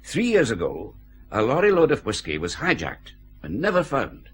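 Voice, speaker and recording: An actor playing a methodical senior police superintendent, measured and authoritative, in a radio drama. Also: male voice, mid-range voice, wildly swooping pitch, husky. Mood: authoritative, businesslike.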